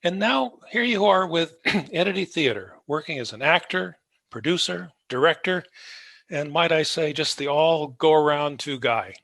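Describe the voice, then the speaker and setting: A man's voice: fairly high pitch, expressive, measured pace, gravelly, fairly bright tone, no echo. A man speaking as the host of an interview podcast. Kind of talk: scripted introduction, then conversational interviewing.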